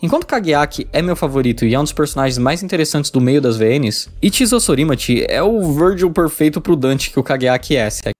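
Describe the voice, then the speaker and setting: A man's voice: on the high side, lively intonation, fairly quick, few pauses, faint music behind. A man with a Brazilian accent reading a scripted video review.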